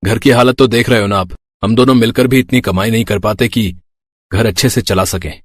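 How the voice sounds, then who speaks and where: A man plays the grown son of a poor household in a moral cartoon tale. His voice is on the low side. The sound is very dry, with no room around it. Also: fairly even intonation, a little fast, no room sound, close to an everyday voice. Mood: earnest. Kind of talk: Hindi moral story cartoon acting.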